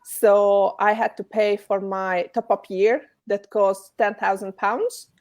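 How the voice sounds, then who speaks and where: A woman's voice: mid-range voice, little pitch movement, slow pace, darkish tone. A woman from Hungary with a Hungarian accent, answering interview questions over a video call in a webinar. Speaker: guest speaker.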